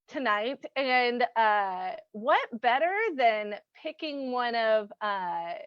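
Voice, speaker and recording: A woman's voice: a little high, a bit bright, no room sound. A woman hosting and casually introducing a live-streamed reading, on dull, dry audio. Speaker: host and announcer.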